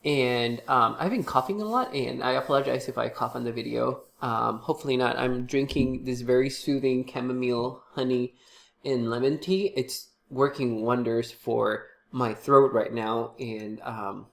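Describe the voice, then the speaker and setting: A young man who is congested from a cold, his voice gravelly and creaky at the low end. He talks casually to camera, halting often with fillers and false starts. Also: steady tone, fairly bright tone. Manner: halting, many fillers and restarts. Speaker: tarot reader and channel host.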